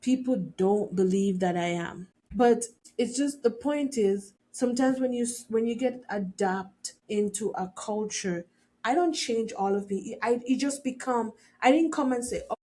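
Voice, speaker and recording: Mellow-voiced woman with a faint Jamaican accent. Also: on the high side, a little slow. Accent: light Jamaican. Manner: earnest, repeats words while searching for them. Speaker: podcast host. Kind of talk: faith-based reflective monologue.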